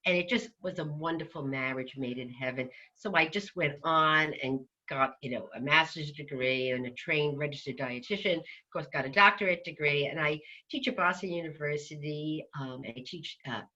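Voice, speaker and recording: A woman with a New Jersey accent talks with eager enthusiasm, her low voice sharp and bright. She sounds a little muffled, like she's on a lo-fi video call. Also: normal speed, hardly any pauses. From New Jersey, USA. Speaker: guest, dietitian. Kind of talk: animated interview chat.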